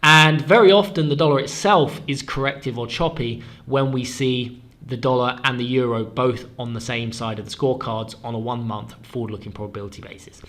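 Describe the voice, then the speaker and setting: A man of middle pitch talks through a weekly currency forecast with a stuffed-up voice from a cold. He explains briskly and stresses the key words. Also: steady tone, a bit bright. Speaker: forex analyst and show host. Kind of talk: weekly market forecast talk.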